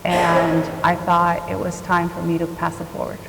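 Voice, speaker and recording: Low, gravelly woman speaking earnestly. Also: monotone, moderate pace. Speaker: panelist, nonprofit leader. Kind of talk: panel discussion. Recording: hall reverb with noise and clicks.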